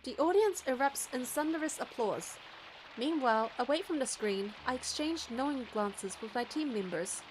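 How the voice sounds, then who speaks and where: High-pitched woman narrating a story. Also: animated, normal speed, background noise. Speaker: narrator and game player. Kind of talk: scripted first-person story narration.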